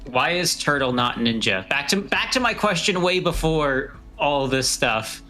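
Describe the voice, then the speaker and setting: A man's voice: fairly high pitch, lively intonation, unhurried, pushed and bright, faint music behind. A man chatting casually as a livestream guest, with a dry, wry, rambling way of bantering.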